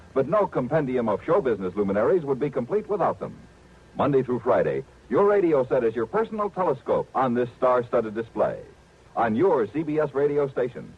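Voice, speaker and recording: An American male announcer in vintage 1950s radio style. His delivery is showy and formal with lively swoops in pitch, the voice slightly rough, heard through a thin, narrow-band old broadcast recording. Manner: grandiose, formal, showy diction.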